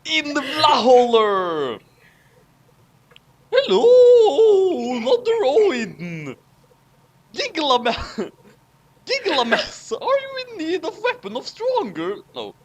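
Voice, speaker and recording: A man's voice: very high voice, wildly swooping pitch, takes it slowly, pauses often. A man co-hosting a dramatic fanfic reading, theatrical and over-acted, with casual chat in between.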